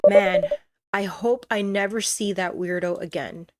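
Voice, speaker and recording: A woman's voice: mid-range voice, fairly even intonation, a little slow, darkish tone, dry recording, studio quality, faint music behind, close to an everyday voice. A woman voicing a wary, irritable young heroine in a visual novel game, with a guarded manner. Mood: weary.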